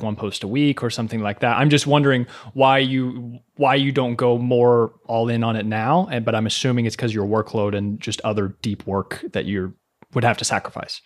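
A man hosting a podcast, asking relaxed, curious questions in casual conversation. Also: medium pitch. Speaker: podcast host.

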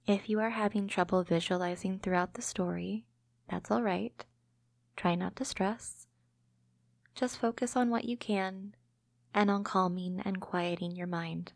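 A woman narrating a scripted guided imagery meditation in a gentle, calming, measured way. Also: mid-range voice, vocal fry, no room sound, studio quality.

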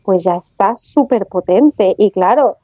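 A Mexican woman guest talking in a chatty, animated way, with colloquial slang. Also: mid-range voice, unhurried, narrow, tinny audio. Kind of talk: casual podcast conversation.

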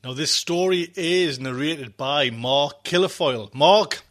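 Higher-pitched man hosting a podcast. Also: measured pace, fairly bright tone. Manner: rambling, repeats himself. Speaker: podcast host. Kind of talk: chatty show introduction.

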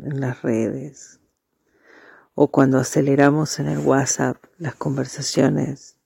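A meditation guide speaking softly and breathily in a calming, deliberate way with marked pauses. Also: mid-range voice, vocal fry, slightly rough, no room sound.

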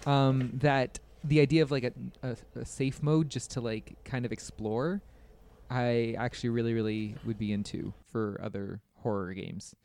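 A man co-hosting a podcast, chatting casually about video games in a halting way, rephrasing as he thinks. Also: medium pitch, fairly even intonation, darkish tone, clear, resonant tone. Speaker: podcast co-host.

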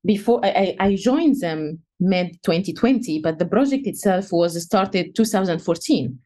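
A young woman from Egypt, a researcher answering interview questions in a halting way, with many fillers and restarts. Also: lowish voice, lively intonation, warm tone. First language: Egyptian Arabic. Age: young adult. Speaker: guest researcher.

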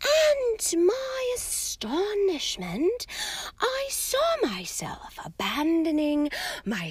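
A misty old seer woman breathes her lines in a high, faraway whisper, as a woman reader puts her on. She drifts slowly and dramatically between ominous warnings, her pitch swooping up and down. The storybook reading sounds close and dry. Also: no room sound, full character voice.

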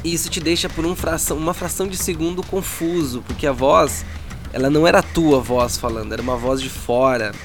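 A man with a Brazilian accent working as game master, narrating a tabletop role-playing story in a dramatic, deliberate way with pauses. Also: on the high side. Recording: music bed behind the voice. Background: background music.

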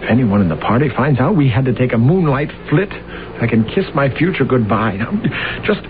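An actor playing a scheming, boastful lawyer husband in a radio drama, smooth-talking and theatrical. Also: male voice, mid-range voice, lively intonation, brisk, lightly acted. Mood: impatient. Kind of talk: 1970s radio drama acting. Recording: tinny hissy old radio broadcast.

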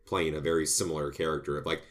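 Man, very low voice, casual chatty film-discussion co-host, restarts a lot. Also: fast, crowd noise. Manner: rambling, animated, frequent restarts. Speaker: film review podcast co-host.

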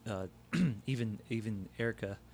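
Affirming low-voiced male co-host. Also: normal speed, a little mellow, slight background noise. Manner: affirming listener, frequent agreement. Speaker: podcast co-host. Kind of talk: conversational discussion.

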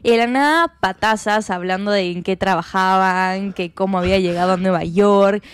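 A woman, co-hosting a podcast and telling stories in casual chat with quick asides. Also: mid-range voice, smooth. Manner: chatty, storytelling with quick asides. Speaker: podcast co-host.

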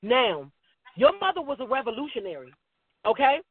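30s dude hosting, real high voice, blunt, cusses a lot, sounds like a phone call. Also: male voice, big pitch swings, husky. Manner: blunt, swears freely, quick asides. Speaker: podcast host. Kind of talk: casual podcast chat. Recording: dry tinny phone-like audio.

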